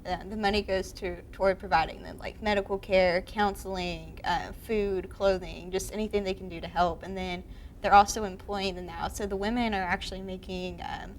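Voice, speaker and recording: A woman's voice: mid-range voice, fairly even intonation, moderate pace, rough recording. Young woman, charity run organizer, earnest interview delivery, mid-range voice, faint room hiss. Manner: earnest, with ums and uhs.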